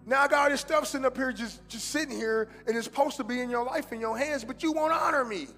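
A man with a high voice preaching to his congregation, slipping easily between casual street slang and fervent exhortation. His words ring out with a long hall echo and soft music underneath. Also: fast. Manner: casual street slang mixed with preaching, swings from loud fervor to hushed prayer. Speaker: pastor. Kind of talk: sermon. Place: church sanctuary.

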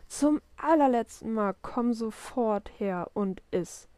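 A woman's voice: mid-range voice, lively intonation, normal speed, a little mellow, no echo, natural voice. Woman acting an exasperated, scolding storybook dad. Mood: stern, annoyed. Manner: stern, scolding, impatient. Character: exasperated father shouting for his dawdling small son. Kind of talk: children's storybook read-aloud.

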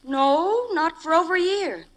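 An actress playing a self-assured, teasing young American secretary in a 1940s radio crime drama, with brisk, coy delivery. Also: female voice, very high voice, expressive. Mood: light, teasing. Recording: noisy vintage radio recording.

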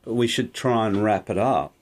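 Aussie male podcast co-host, low voice, loose unscripted chat with restarts. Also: moderate pace. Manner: rambling, self-correcting, laughs often. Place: small recording studio. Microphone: studio microphone.

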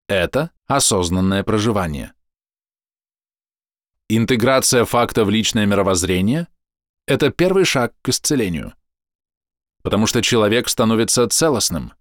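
A man reading a scripted explanatory voice-over in measured, deliberate short phrases, close to the microphone. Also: on the low side, no echo. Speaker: narrator.

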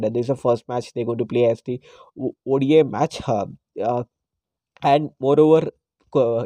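A man, hosting a casual solo podcast, hoarse from a cold and pausing often with fillers. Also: medium pitch, dark tone, no echo. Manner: hesitant, frequent "uh" fillers. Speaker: podcast host.

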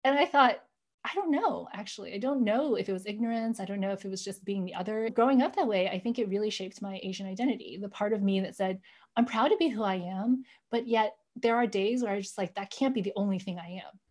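A woman's voice: medium pitch, quick pace. Earnest middle-aged woman telling her story. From United States. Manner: earnest, frequent fillers like "you know". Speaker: interview guest. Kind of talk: reflective personal storytelling.

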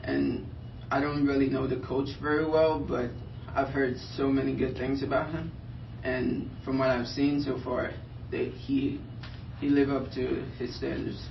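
A man's voice: mid-range voice, little pitch movement, measured pace, gravelly, few pauses. A young man from Liberia, a pro soccer player, answering press questions hesitantly with many fillers. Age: young adult. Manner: hesitant, filler-heavy, soft-spoken. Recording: roomy, distant, muffled, light noise.

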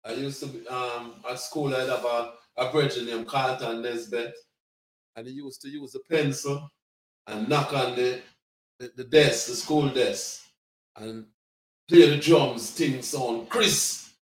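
A man in his sixties with a Jamaican accent, a reggae singer telling stories of his youth in a relaxed interview. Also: mid-range voice, measured pace, roomy sound, distant mic, poor audio. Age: 60s. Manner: relaxed, laughing, patois phrases. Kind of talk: casual interview storytelling.

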